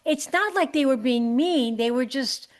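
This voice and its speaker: A woman hosting a comedy podcast, chatting casually, animated and quick to laugh and exclaim. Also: high voice. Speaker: podcast host.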